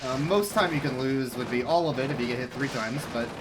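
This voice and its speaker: A man's voice: medium pitch, a little fast, background noise. Casual speedrunner explaining his run. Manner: relaxed, explanatory, with sudden excited outbursts. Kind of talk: casual live-stream commentary. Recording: game music playing behind.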